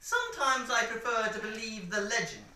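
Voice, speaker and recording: A woman plays a sly male villain professor in a comedy sketch, purring mock-polite lines that swing widely in pitch. The voice is bright and edged, heard from a little way off in an echoing room. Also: lively intonation, moderate pace, recorded from a distance. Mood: suave. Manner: suave, theatrical, mock-polite with sudden menace. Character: sly male villain professor who runs a wax museum. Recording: roomy echo, voice set back from the mic.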